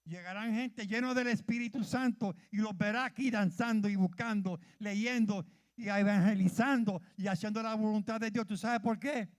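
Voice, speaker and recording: Fervent high-voiced man preaching. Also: steady tone, moderate pace. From Puerto Rico. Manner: fervent, calls out questions to the congregation, stumbles over words. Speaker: church leader preaching. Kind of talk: sermon.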